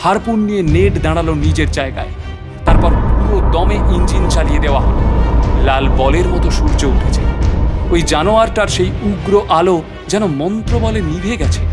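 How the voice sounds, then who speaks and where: A man's voice: high voice, moderate pace, over loud music, lightly acted. An actor playing an earnest sea adventurer who narrates his perilous voyage in a theatrical, suspenseful audio drama style. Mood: suspenseful, dramatic. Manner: theatrical, suspenseful, repeats words breathlessly in peril. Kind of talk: dramatised first-person story narration.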